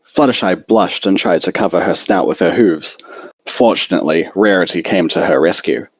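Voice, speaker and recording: A man narrates a story in a low voice, reading from a script. The recording is close and dry, with very little room sound. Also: moderate pace, breathy edge, no echo, rough recording. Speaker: fan fiction reader.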